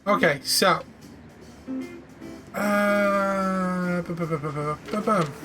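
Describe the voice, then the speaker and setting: A man with a high voice chats his way through a video game. He is playful and casual, and game music runs behind him. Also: slow. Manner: chatty, playful. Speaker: gamer, streamer. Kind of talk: casual let's play commentary.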